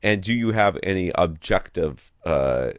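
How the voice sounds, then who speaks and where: Deep-voiced, animated male podcast host. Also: a little slow, clean tone, dry recording, clean studio sound. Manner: animated, rambling, colloquial. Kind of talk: casual advice chat.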